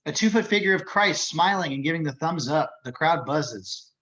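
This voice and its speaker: A man's voice: a little high, animated, moderate pace, sharp tone. A man reading scripted stage directions at a table read, recorded on a dull lo-fi microphone.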